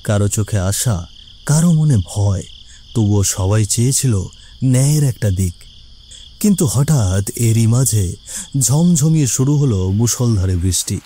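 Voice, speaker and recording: A man's voice: lowish voice, animated, unhurried, mellow, a little hiss or hum. A man narrating a village story in a scripted, dramatic storytelling style.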